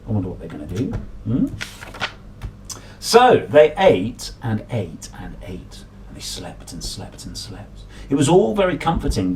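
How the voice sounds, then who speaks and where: A man in his thirties reading a children's picture book aloud, playful and dramatic with drawn-out emphasis. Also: medium pitch, darkish tone, slight background noise. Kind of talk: animated children's story reading. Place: small room at home, at a desk.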